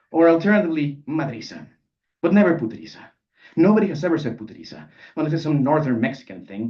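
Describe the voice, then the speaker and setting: A man's voice: medium pitch, natural voice. A man voicing a mocking, pedantic young male protagonist in a live story reading, pompous and needling. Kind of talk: character dialogue in a live literary reading. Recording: dull sound, distant microphone.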